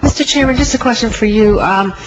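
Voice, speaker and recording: A woman's voice: on the high side, brisk. A woman on a committee asking questions informally and hesitantly, repeating her words. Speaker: committee member. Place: legislative committee hearing room. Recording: dull hearing audio, faint noise.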